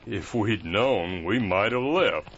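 A man's voice: fairly low pitch, animated, slow pace, fairly bright tone, slight background noise, heavily acted. A man voicing a blind caretaker who is folksy, patient and kind. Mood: wry. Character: blind male caretaker, gentle and wry. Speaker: nurse to wounded soldiers. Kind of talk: audio drama acting. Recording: muffled lo-fi recording.

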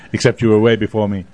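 A man's voice: on the low side, dark tone. A man hosting a casual radio interview, chatting and putting questions to his guests.

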